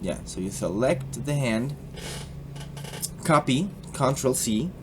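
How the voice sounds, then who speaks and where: A young man with a Colombian accent casually explaining a software tutorial, joking and slipping into put-on accents. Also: medium pitch, expressive, slow, background noise. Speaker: tutorial host.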